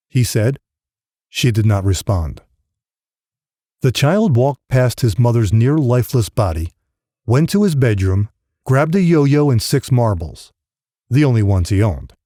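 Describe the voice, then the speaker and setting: A man's voice: mid-range voice, dark tone, no echo, clean studio sound. A man reading a grim novel as scripted audiobook narration.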